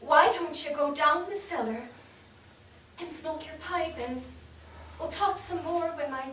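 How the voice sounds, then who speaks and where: A woman playing a burdened, firm nineteenth-century wife and mother in a staged reading, speaking in a measured way. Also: high-pitched, fairly bright tone. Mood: measured, guarded. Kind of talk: staged reading of a period drama. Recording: echoey theatre, distant mic, faint noise.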